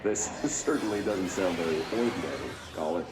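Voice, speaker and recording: An actor playing a polished, patronising host of a late-night conspiracy radio call-in show in a game. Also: male voice, deep voice, animated, moderate pace, roomy sound, background noise. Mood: smooth, genial.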